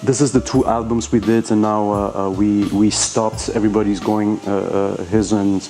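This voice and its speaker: A man's voice: on the low side, flat delivery, normal speed, mellow, faint music behind. A man from Iran whose first language is Persian, a rapper answering interview questions in a hesitant way, with many fillers and restarts.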